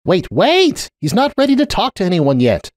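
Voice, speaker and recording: Guy doing nervy worn-out pony wizard in an audiobook, higher pitch, awkward and hesitant, very dry sound. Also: wildly swooping pitch, normal speed, no room sound. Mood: urgent, placating. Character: weary, anxious young male pony wizard.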